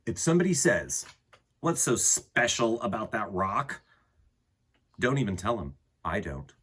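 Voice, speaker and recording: A man with a husky voice reading a picture book aloud to children in an expressive way, with dramatic pauses and emphasis. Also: lowish voice. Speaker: children's storytime host and reader.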